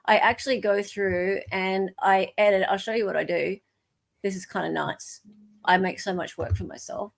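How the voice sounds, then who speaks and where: An Australian woman hosting a casual live show, excitable and muttering to herself as she searches through documents. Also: medium pitch, moderate pace, gravelly. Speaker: podcast host. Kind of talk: casual live chat while reading documents.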